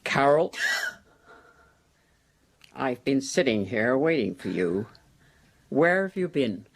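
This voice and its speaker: A woman's voice: a bass voice, very animated, takes it slowly, slightly rough, heavily acted. A cold older matriarch in a radio drama. She is a domineering woman who speaks in curt commands and probing questions, expecting to be obeyed by her grown children. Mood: stern, controlling.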